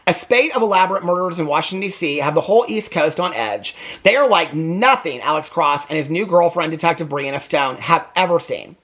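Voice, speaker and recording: A man's voice: a little high, a little fast, hardly any pauses. A man chatting casually about books he has read, reading synopses aloud and breaking off with wry complaints and asides. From United States. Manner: animated, chatty, self-interrupting, wry complaints. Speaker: book reviewer.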